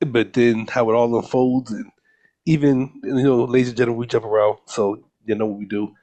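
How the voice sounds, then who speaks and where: Male podcast co-host, medium-pitched, conversational and slangy, casual film talk with friends. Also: fairly even intonation, fairly quick, warm tone.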